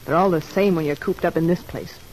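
A woman's voice: lowish voice, fairly quick, darkish tone, close to an everyday voice, poor audio. Young female inmate, played by an actress: prickly, scheming, curt, golden-age radio soap delivery. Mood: irritated. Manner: curt, defensive. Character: irritable, scheming young woman prisoner, desperate for parole. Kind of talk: old-time radio drama acting.

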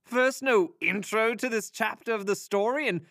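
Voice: medium pitch, normal speed, a bit bright, no room sound, clean studio sound, lightly acted. An actor playing a pompous, cocky sorcerer who serves an empress, haughty and theatrical with flustered hesitations, in a fantasy audio drama. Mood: indignant.

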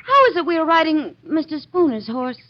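A woman playing a giggly, coy young country wench in an old radio drama, with squeaky, sing-song questions. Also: animated, normal speed. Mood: coy teasing. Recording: dull, lo-fi old radio recording.

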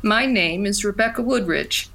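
An actress playing a plainspoken middle-aged country woman, wistfully reminiscing in an audio drama with pauses. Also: mid-range voice, vocal fry, fairly bright tone.

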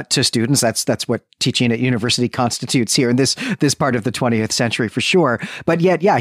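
Male co-host, medium pitch, casual literary chat with digressions, very dry recording. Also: no echo. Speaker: podcast co-host. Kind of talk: conversational book discussion.

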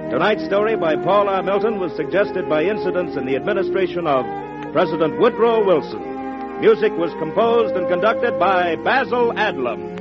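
A man's voice: high-pitched, lively intonation, moderate pace. An American man reading scripted closing credits in the manner of 1940s radio. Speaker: credits announcer. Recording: muffled old radio, loud music underneath.

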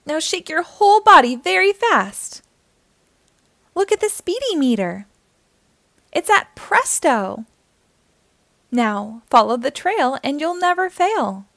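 A woman hosting a children's storytime, reading aloud in an animated, encouraging way and speaking directly to young listeners. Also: high-pitched, slow, vocal fry, pauses often, no echo, clean studio sound.

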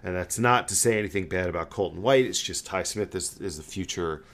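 A man with a low voice hosts a casual sports fan podcast. He tells stories in an animated way, often doubling back on his words as he goes. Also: brisk. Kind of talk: casual hockey fan chat.

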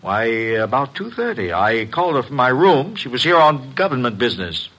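Radio drama nervous businessman: bright, swooping voice, overpolite, hesitant, fussy. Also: medium pitch, big pitch swings, moderate pace. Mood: nervous, defensive. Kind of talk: old-time radio mystery acting.

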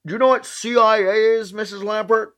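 A man's voice: high voice, fairly even intonation, a little fast, a bit bright. An actor playing a fussy, dry middle-aged American intelligence official in a radio play, chatty and officious in his talk. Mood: officious, explaining. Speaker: actor in audio drama.

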